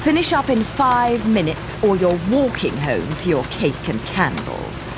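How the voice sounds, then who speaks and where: A woman's voice: on the low side, big pitch swings, noisy background. A woman voicing a cool, coquettish witch in a video game, composed and dryly teasing. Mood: cool, dismissive. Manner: unhurried, dry, teasing, composed. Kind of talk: video game cutscene acting.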